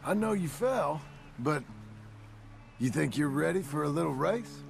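An actor playing a gruff, protective American cowboy father working on a ranch in a video game, dry and plain-spoken. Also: a little high, lively intonation, a little slow, soft background music, full character voice. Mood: encouraging. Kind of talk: dramatic video game cutscene acting.

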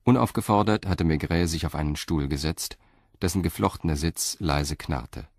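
A man narrating a radio crime drama in a measured, even way. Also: very deep voice, husky, no room sound, clean studio sound.

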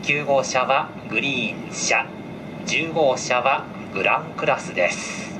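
A male train conductor speaks at a middle pitch in formal, courteous announcement style. His measured words come over the car's PA, with clear ventilation and vehicle noise around them. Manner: very polite, measured, formal phrasing. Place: inside a train car. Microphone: camera picking up cabin loudspeaker.

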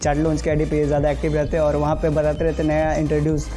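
A young man speaks with a Bihari Hindi lilt, reviewing dishes in a restaurant with easy, eager chatter. His voice sits a little high, and he keeps stringing thoughts together with fillers. Music plays clearly in the background. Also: completely flat delivery, racing. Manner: chatty, enthusiastic, lots of fillers. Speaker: food vlogger. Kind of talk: casual food review. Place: restaurant indoors.

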